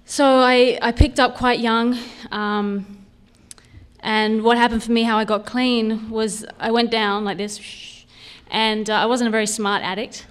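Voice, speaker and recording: A woman's voice: fairly high pitch, flat delivery, unhurried, smooth. A woman in her thirties with an Australian accent, slightly mixed with Canadian, gives an informal personal talk to an audience in a candid, lightly humorous, conversational way. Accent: Australian with a hint of Canadian. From Sydney, Australia. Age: 30s. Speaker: guest speaker at a recovery convention.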